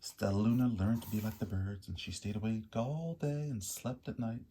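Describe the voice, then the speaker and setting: A man reading a picture book aloud in an animated, expressive storyteller way. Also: fairly low pitch, normal speed, a little hiss or hum.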